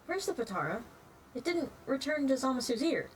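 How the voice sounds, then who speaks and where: A woman's voice: high voice, big pitch swings, brisk, slight background noise. A woman voicing a fussy, exacting arena official with a formal, disapproving manner in an amateur dramatic reading. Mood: exasperated.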